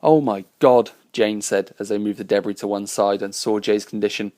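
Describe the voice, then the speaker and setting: A man's voice: lowish voice, completely flat delivery, fairly quick, a little mellow, no room sound, studio quality. Man reading a sci-fi story aloud. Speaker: reader and narrator.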